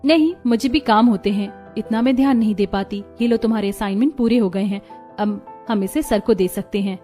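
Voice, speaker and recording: A woman's voice: medium pitch, brisk, mellow, soft background music, close to an everyday voice. A woman playing a modest, reserved young girl from a poor family in a cartoon story.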